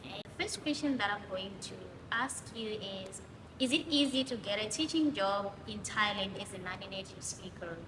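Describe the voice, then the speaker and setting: A woman's voice: mid-range voice, lively intonation, moderate pace. A woman hosting her own video channel, chatting casually and reading questions to a guest. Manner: chatty, asks questions from a list. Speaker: YouTube host. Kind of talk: casual vlog interview. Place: living in Thailand. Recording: echoey room with long reverb and noise.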